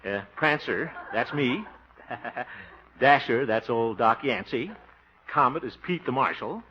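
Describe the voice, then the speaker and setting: Blustery, swooping, self-important club leader. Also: male voice, mid-range voice, measured pace, slightly rough, exaggerated voice. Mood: jovial, boastful. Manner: blustery, chuckling, excitable. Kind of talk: 1950s radio comedy acting. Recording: muffled, hissy 1950s radio recording.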